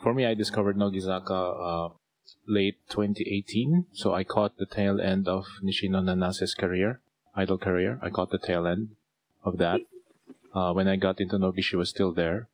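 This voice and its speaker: A Filipino-accented man hosts a relaxed podcast. His voice sits very low, and he talks in a loose, rambling way full of fillers and restarts. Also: moderate pace. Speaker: podcast host. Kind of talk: casual chat.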